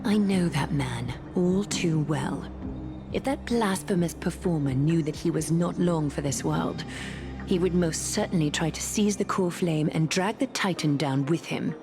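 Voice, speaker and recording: An actress voicing a grave, commanding woman leader in a video game, speaking in a formal, measured, solemn manner. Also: low voice. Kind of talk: scripted video game voice acting. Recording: music under the voice.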